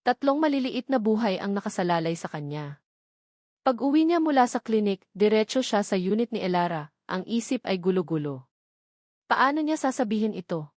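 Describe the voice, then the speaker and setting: A woman's voice: medium pitch, sing-song, normal speed, darkish tone, no room sound. Filipino woman narrating, close and dry. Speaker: story narrator. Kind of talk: scripted dramatic romance narration.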